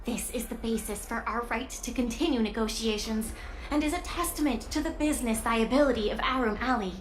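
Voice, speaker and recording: Woman playing an earnest, high-voiced young guild heroine. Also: brisk, slight background noise, lightly acted. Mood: determined, earnest. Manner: earnest, assertive, explanatory. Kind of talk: dramatic video game dialogue. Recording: music behind the voice.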